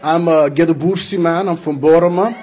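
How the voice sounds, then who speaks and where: A man's voice: a little high, fairly even intonation, brisk, noisy background. A young American man giving an emphatic political speech at a podium microphone in an indoor hall, hammering his points home through repetition. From United States. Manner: emphatic, repeats points for effect, gestures while speaking. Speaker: speaker at a community event. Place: indoor hall with flags behind. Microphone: podium microphone on a stand.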